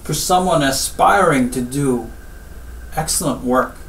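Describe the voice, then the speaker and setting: A middle-aged man from Guyana, a surgeon giving advice in an interview in measured, deliberate short phrases with pauses. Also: mid-range voice, vocal fry, a bit bright. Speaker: spine surgeon, interview guest. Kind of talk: interview answers, health advice. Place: small office, seated at desk. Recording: roomy, distant mic, faint background noise.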